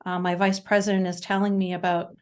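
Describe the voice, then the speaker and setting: A Canadian woman in her forties, a guest speaking in a casual interview, talking haltingly with many fillers and restarts. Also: on the low side, flat delivery, a little mellow. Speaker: podcast guest.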